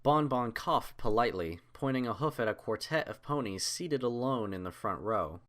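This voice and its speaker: A man's voice: mid-range voice, expressive, moderate pace, fairly bright tone. A man reading scripted fiction as an audiobook narrator.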